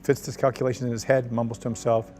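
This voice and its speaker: A man's voice: medium pitch, level tone, quick pace, dark tone, soft background music. A man reading screenplay directions aloud as narrator at a table read.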